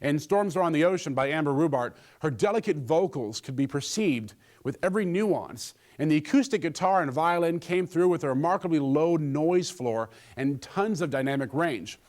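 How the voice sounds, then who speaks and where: A man's voice: fairly high pitch, expressive, normal speed, few pauses, a little mellow. A man presenting a scripted gadget review, with a joking streak in his delivery.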